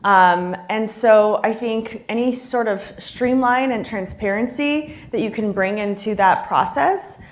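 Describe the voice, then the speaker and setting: A woman's voice: mid-range voice, measured pace, few pauses. A woman speaking on a conference panel, explaining her points with frequent fillers. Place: conference hall.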